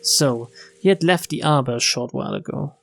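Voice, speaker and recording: Man reading aloud over loud game music. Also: fairly high pitch, normal speed, vocal fry. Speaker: game streamer. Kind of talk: dramatic read-aloud of visual novel text.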